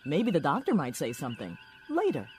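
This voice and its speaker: An eager, cheeky starship lieutenant, very animated in pitch. It is comic sci-fi audio drama with faint music behind. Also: male voice, fairly quick. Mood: teasing.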